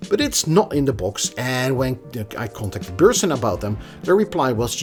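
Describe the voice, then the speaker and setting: A man giving a casual, opinionated tech review in a conversational manner. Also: mid-range voice. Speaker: product reviewer.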